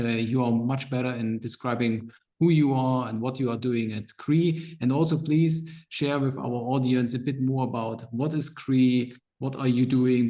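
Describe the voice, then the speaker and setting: A man's voice: medium pitch, warm tone. A man hosting a business podcast, introducing his guest with enthusiasm. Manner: enthusiastic, repeats "really". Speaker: podcast host.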